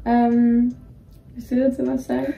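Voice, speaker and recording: A woman's voice: fairly high pitch, monotone, a little slow, vocal fry, mellow, soft background music. A young woman talking casually as a co-host, rambling with many fillers and restarts. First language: Greek is partly her home language. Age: young adult. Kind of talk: casual chat. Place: dim bedroom, indoors. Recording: roomy echo, voice somewhat distant from mic.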